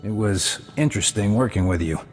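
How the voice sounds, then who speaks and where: An actor playing a dry, authoritative intelligence officer in a video game, matter-of-fact, in a reverberant space. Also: male voice, fairly low pitch, a little fast, darkish tone, faint music behind, natural voice. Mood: brisk, composed. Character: composed, dry-humoured male intelligence squad leader.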